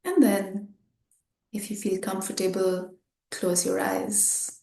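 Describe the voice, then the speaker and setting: A woman meditation teacher leading a guided meditation in short, gentle, unhurried phrases with pauses between them. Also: mid-range voice, steady tone, vocal fry. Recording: roomy, echoing sound.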